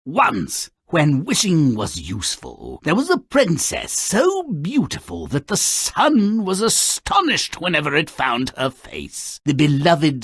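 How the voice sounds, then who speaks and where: An actor voicing a cynical, gleefully wicked little gremlin who narrates fairy tales in a video game, with a gravelly rasp and a sarcastic, theatrical drawl. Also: male voice, a little high, a bit bright, no room sound, clean studio sound, full character voice. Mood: storytelling. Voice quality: raspy, gravelly.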